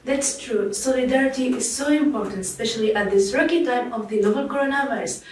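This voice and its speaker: A formal female host, acted by a woman welcomes guests and asks questions. She sounds slightly high and distant. Heavy room echo surrounds her. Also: fairly quick, darkish tone, slight background noise, lightly acted. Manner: formal, announcing. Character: polite female talk show host. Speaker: talk show host. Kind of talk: scripted talk show hosting. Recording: echoey room, far from mic.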